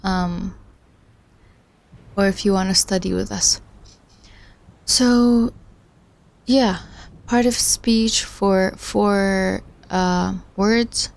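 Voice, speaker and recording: Slow, gravelly woman streamer musing aloud. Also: medium pitch, fairly even intonation, darkish tone. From Iraq. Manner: musing, self-deprecating, chats with viewers. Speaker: livestream host studying along. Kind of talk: casual thinking aloud while following a lecture.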